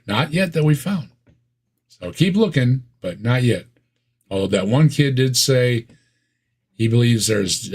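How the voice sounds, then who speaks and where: A man's voice: on the low side, breathy edge. A man with a Midwestern American accent, co-hosting a casual reaction chat with wry, sarcastic asides and frequent laughs. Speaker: co-host reacting to videos.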